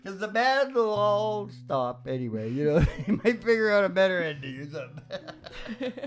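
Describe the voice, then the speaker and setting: A man's voice: high voice, sing-song, normal speed, soft background music. A middle-aged man chatting casually in a joking way, laughing as he talks, a little away from a stage microphone. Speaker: singer and guitarist. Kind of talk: casual chat after a song. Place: small wood-panelled room. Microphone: stage microphone on a stand, often off-mic.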